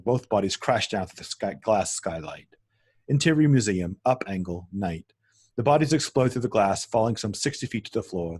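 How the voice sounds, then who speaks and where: Guy with a lowish, kinda husky voice reading script directions out loud for a table read. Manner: stumbles and corrects himself while reading. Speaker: narrator reading stage directions. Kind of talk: screenplay table read narration.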